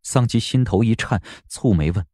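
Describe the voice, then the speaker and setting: A man's voice: lowish voice, moderate pace, warm tone, dry recording, clean studio sound. A man giving dramatic scripted narration for a historical audio drama, as an audiobook narrator.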